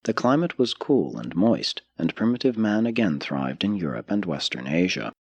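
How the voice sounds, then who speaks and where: A man reading a scripted audiobook narration in a measured, formal way with clearly phrased sentences. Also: deep voice, sing-song, no echo, muffled recording. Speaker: audiobook reader.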